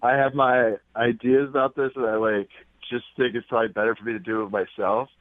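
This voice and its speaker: A man's voice: medium pitch, steady tone, a little fast, no room sound. A man chatting casually and hesitantly as a radio show caller, peppering his talk with "like", over a phone line.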